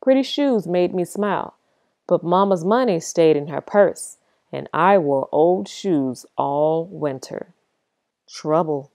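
Woman reader, lowish voice, expressive storybook narration, close dry mic. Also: creaky, no room sound. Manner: lively, expressive storytelling with dramatic pauses. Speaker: reader of a picture book.

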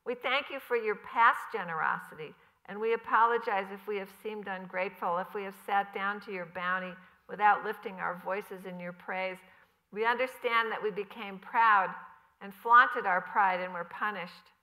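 An earnest farm mother prays aloud, her tone pleading and sincere, as a woman reads her part. When she turns to her child she softens into a tender, reassuring voice. Her tone stays bright throughout. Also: mid-range voice, moderate pace, rough recording. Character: devout farm mother praying earnestly, worried.

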